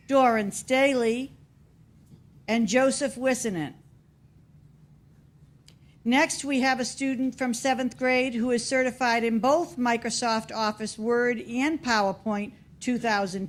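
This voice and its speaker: Female, Boston accent, fairly high voice, unhurried formal delivery of an awards roll call. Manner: deliberate, announcing each name separately. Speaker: school staff member presenting student awards. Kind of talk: reading out names at a formal meeting. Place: school board meeting room.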